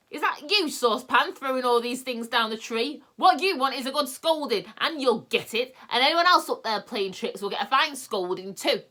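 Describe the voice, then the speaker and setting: A woman voicing an angry, bossy fairy-tale man who shouts and scolds in a storybook. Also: deep voice, moderate pace, hardly any pauses. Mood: furious scolding.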